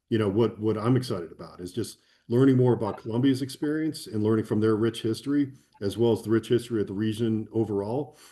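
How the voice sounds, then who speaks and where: Low, mellow man, thoughtful guest. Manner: thoughtful, enthusiastic. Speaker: conference guest. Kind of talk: conversational interview.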